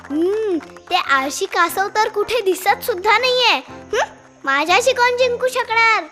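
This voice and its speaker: A woman voicing a boastful, mocking young hare in an animated children's story. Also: very high voice, measured pace, soft background music, full character voice. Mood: smug.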